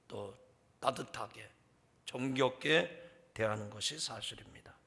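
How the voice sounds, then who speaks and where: Bright-voiced Korean pastor preaching. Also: male voice, medium pitch. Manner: measured preaching, rising in intensity when telling the scripture story. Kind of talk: sermon.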